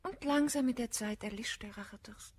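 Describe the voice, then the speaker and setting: Composed, breathy, high-voiced gentlewoman. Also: normal speed. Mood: tender, soothing. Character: composed, sympathetic gentlewoman confidante, gently sensible. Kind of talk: German radio play of classical verse comedy.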